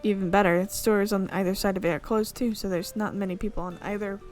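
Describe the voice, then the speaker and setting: A woman's voice: mid-range voice, steady tone, fast talker, darkish tone, faint music behind. A woman hosting a gaming video, reading a visual novel's narration aloud and often stopping to correct her slips. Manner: reads narration with frequent slips and self-corrections.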